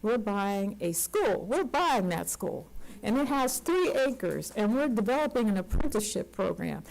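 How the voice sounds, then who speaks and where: A woman's voice: medium pitch, sing-song, a little slow, gravelly, a little mellow, poor audio. A woman in her sixties speaking on a conference panel as a community organizer, earnest and emphatic, repeating words for stress. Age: 60s. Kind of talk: unscripted panel remarks.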